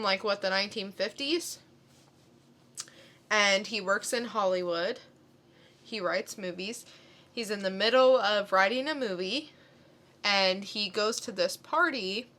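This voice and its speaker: A woman with a bright, clear-cut voice recaps a story on a podcast. She takes her time, conversational and a little halting. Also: mid-range voice. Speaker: podcast co-host. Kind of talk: casual comic plot recap.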